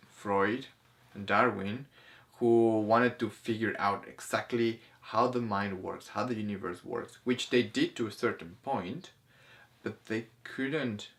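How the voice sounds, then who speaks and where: A man talking casually as host of a discussion, haltingly, often repeating words and restarting his sentences. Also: lowish voice, a bit bright. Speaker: host of a spirituality film discussion. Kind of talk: casual conversational commentary.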